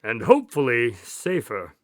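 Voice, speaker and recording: A man playing an earnest, polite doctor and detective's partner in a radio drama, measured in manner. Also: mid-range voice, huge pitch swings. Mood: inquiring.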